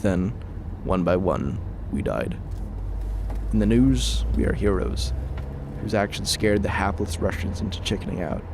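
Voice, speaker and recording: A man's voice: on the low side, measured pace, noisy background. A man playing a weary, haunted soldier in his forties in an audio drama, grave and terse. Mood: grim, bitter. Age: 40s. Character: weary haunted 40-year-old male ex-soldier in hiding. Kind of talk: audio drama acting and narration.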